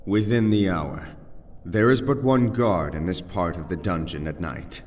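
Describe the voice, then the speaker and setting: Slow, deep-voiced scheming nobleman. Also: a little mellow. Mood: secretive, calculating. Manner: measured, deliberate, conspiratorial. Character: young aristocratic nobleman, cool, scheming, secretive. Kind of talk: fantasy audio drama acting. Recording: long dungeon reverb, music underneath.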